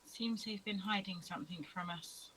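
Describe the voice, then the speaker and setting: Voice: medium pitch, moderate pace, a crowd in the background, rough recording. A player at a tabletop game, curious and full of questions about the scene. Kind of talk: casual play at a tabletop game.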